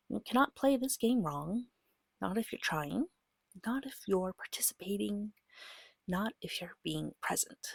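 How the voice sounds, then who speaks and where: A woman giving a soft-spoken ASMR guide as a game master, gentle, patient and reassuring, with a breathy voice that often slips into a whisper, close to the mic. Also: mid-range voice, dry recording. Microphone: close microphone.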